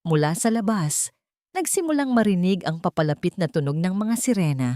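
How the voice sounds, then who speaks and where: A woman narrates an audio drama in the first person. Her voice sits on the low side, recorded close and dry. The delivery is scripted, dramatic storytelling. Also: expressive, brisk, warm tone, no echo.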